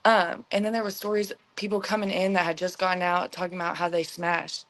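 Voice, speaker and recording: A young woman as an interview guest, telling stories in a candid, slangy, halting way with frequent "like" and acted-out dialogue. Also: lowish voice, level tone, fairly bright tone. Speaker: interview guest, former inmate. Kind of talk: candid conversational storytelling.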